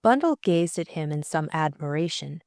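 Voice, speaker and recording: A woman narrating a classic novel as an audiobook, in measured, expressive scripted prose. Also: deep voice, dry recording, studio quality.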